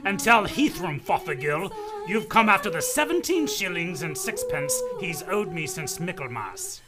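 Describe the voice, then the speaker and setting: A man's voice: sits high, huge pitch swings, moderate pace, loud music behind the voice. A man playing a grumpy, cold old miser in a radio play, scornful and blustering. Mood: scornful, impatient.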